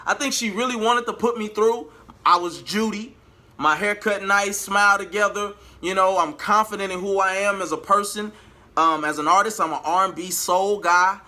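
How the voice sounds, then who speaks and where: A man's voice: high voice, normal speed, pushed and bright. A man telling a personal story to his viewers in a casual, emphatic way, repeating phrases for emphasis. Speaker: vlogger and R&B singer.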